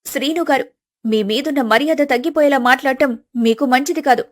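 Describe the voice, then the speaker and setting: A woman voicing a young married woman in a family story, measured, polite and quietly independent. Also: high voice, lightly acted. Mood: firm, composed.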